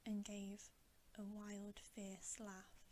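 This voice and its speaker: A woman whispering a bedtime story in a hushed, gentle, deliberate ASMR reading. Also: medium pitch, completely flat delivery, a little hiss or hum. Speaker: ASMR reader.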